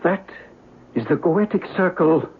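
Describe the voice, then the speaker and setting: An actor playing a grave, ominous doctor of occult lore in a 1970s radio drama. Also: male voice, high-pitched, animated, a little slow. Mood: grave, foreboding. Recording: tinny, faintly noisy old radio broadcast.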